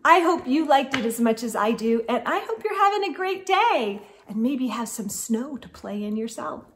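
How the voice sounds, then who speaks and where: A middle-aged woman hosting a children's storytime, reading a picture book aloud in an expressive, sing-song way and talking directly to her young listeners. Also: high voice, normal speed, a little hiss or hum. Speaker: host of children's storytime show. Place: indoors in a room lined with bookshelves.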